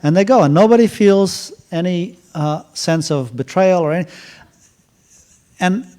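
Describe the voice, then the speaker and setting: A middle-aged man telling a story casually at a university event, halting with many fillers and restarts. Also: high voice, warm tone. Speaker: author speaking at a university event.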